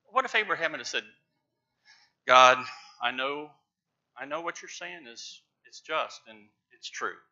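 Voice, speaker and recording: A middle-aged man preaching from a church pulpit, stressing key words with emphatic, rising loudness. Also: fairly high pitch, lively intonation, unhurried, long pauses. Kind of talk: sermon. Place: large church sanctuary with pews. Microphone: lapel mic, far camera. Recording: dull church livestream audio.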